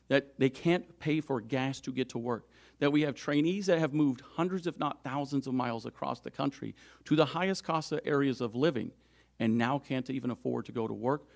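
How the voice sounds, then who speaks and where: Gravelly low-voiced man at a press conference. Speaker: union leader at a press conference.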